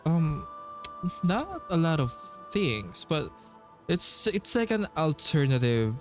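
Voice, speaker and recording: A man doing casual playthrough commentary as a streamer, thinking aloud haltingly and repeating himself. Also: a little high, very animated. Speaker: game streamer. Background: game music.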